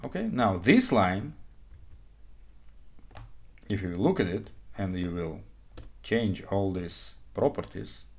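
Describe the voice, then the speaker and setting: A man, an English speaker explaining code informally and haltingly as an instructor in a live tutorial. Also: deep voice, vocal fry. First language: not English; also speaks Hebrew, Russian and Ukrainian. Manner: halting, frequent okay check-ins, non-native phrasing. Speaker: programming instructor. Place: small indoor room, webcam screen recording.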